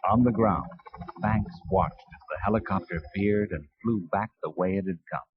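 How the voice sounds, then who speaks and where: A man's voice: deep voice, normal speed. A man reading scripted narration for a children's read-along adventure story. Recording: dull 1980s cassette tape.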